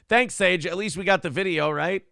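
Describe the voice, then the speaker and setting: A brash, profane male talk show host with a gravelly, slightly high voice. He riffs loosely on politics and reads out viewer messages. The sound is dry and close. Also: measured pace, sharp tone, dry recording.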